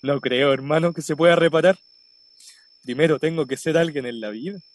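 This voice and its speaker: A man's voice: fairly high pitch, brisk, no room sound, close to an everyday voice. An actor playing a despondent, stubborn young man with a slangy Chilean accent in a radio drama. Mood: dejected. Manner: colloquial, slangy. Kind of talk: naturalistic radio drama acting.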